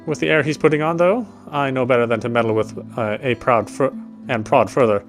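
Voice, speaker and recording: A man's voice: medium pitch, fairly quick. Man reading game text aloud, music behind. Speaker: let's player. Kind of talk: reading game narration aloud, casual commentary.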